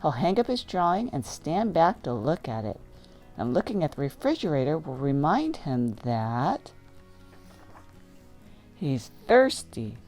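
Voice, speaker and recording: Low-voiced woman reading a children's story. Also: very animated, measured pace, darkish tone, faint music behind. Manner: informal, commenting on the story as she reads. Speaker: children's book reader. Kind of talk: read-aloud storytelling with casual asides.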